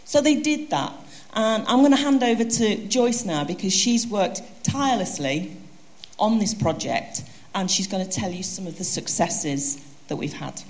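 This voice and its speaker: A woman giving a conference presentation and handing over to a colleague, explaining in a lively way. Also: medium pitch, moderate pace.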